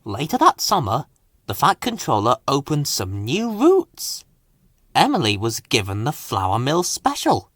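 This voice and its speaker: A man reading a children's story aloud with dramatic, deliberate expression. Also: medium pitch, huge pitch swings, fairly bright tone, no echo, clean studio sound. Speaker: storybook reader.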